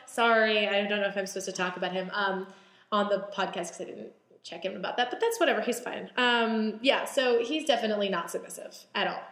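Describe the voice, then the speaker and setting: A woman's voice: mid-range voice, a bit bright. Chatty young woman in a roomy space. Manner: chatty, halting, many fillers and restarts. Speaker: podcast guest. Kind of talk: candid podcast conversation. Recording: roomy echo.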